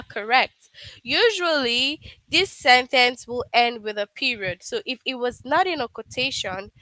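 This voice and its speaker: A woman's voice: sits high, sharp tone, no echo, poor audio. A woman teaching a live online grammar lesson, patiently checking understanding with frequent "okay?".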